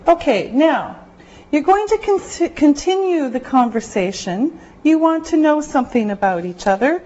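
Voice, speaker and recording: A woman teaching an English class, giving slow, careful directions to her students in a classroom. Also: high-pitched, very animated, a little mellow. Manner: slow, deliberate, clearly spoken directions. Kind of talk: classroom instruction. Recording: muffled lo-fi classroom recording.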